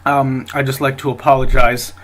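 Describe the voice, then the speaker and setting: A man hosts a solo podcast with a blocked, stuffy nose from a cold. His voice sits at an ordinary middle pitch. He rambles loosely, with frequent fillers and restarted phrases. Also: flat delivery, normal speed, fairly bright tone. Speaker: podcast host.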